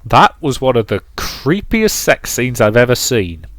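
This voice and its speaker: A man's voice: mid-range voice, sing-song, a little slow, a bit bright, no echo. A man hosting a let's play, moving between dramatic visual novel narration and casual commentary, close on the mic.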